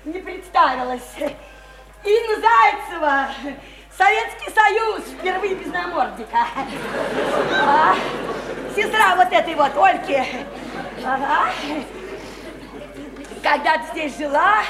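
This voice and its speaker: An actress playing a brash, boozy, chattering widowed house painter, flirty and slangy, in a radio play. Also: female voice, extremely high pitch, animated, slow pace, pauses often, reverberant, crowd noise, background noise, full character voice. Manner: flirty, teasing, folksy and slangy. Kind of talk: theatrical radio play acting.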